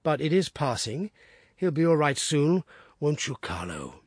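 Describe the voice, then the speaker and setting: A man doing a calm, measured detective. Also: fairly high pitch, husky, dry recording, studio quality, exaggerated voice. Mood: reassuring. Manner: measured, reassuring.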